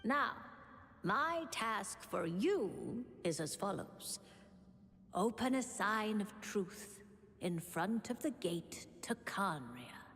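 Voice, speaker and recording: A woman voicing an old witch mentor in a video game, reading a letter in a deliberate, lofty, scholarly manner. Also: mid-range voice, very animated, fairly bright tone, a little hiss or hum, full character voice. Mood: solemn, instructive. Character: old witch mentor, measured, cryptic and mocking. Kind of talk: dramatic letter narration. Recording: long reverb on voice. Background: game music.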